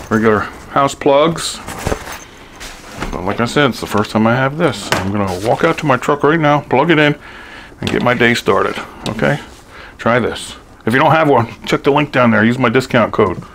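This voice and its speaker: A man's voice: medium pitch, background noise. A man in his sixties giving a chatty, upbeat cooking demonstration in his home kitchen, chuckling often, on a lapel mic. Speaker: cooking vlogger. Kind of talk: casual cooking demo. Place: home kitchen, then pickup truck.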